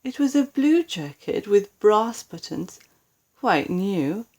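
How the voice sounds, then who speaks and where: A woman with a British accent narrating a children's storybook expressively, with deliberate dramatic emphasis on key words. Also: medium pitch, very animated, a little mellow. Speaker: storybook reader.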